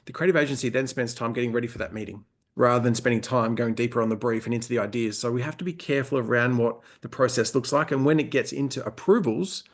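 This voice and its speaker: Man, gravelly voice, mid pitch, conversational webinar presenter, lots of ums. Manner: conversational, fills pauses with "um", repeats words.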